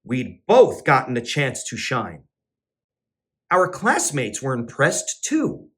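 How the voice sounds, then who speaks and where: A man reads a children's picture book aloud, his voice climbing and dropping in big swoops. He takes his time over each line, with a gentle, sing-song storytelling delivery. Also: slow pace. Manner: expressive storybook narration with sing-song pitch swings. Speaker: teacher and children's entertainer.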